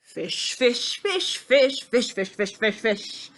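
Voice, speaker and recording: A woman voicing a gruff, manic uncle obsessed with fish, barking and chanting in a storybook reading. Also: fairly high pitch, very animated, takes it slowly, fairly bright tone, full character voice. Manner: barking, chanting, grudging grunts.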